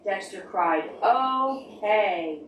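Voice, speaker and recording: A woman reading a picture book and leading preschool activities, talking to small children in simple, animated prompts. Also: medium pitch, drawn-out words, a little hiss or hum. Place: library storytime room, recorded from a distance. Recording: roomy, distant mic with music behind.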